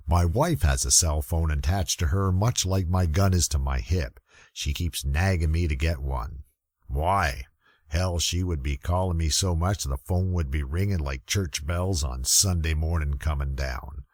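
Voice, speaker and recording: A man playing a weary, gruff, middle-aged police lieutenant, narrating a radio murder mystery with wry, folksy grumbling in a gravelly voice. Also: very deep voice, a little mellow, dry recording. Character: gruff, weary old-school male police lieutenant narrating hardboiled detective story.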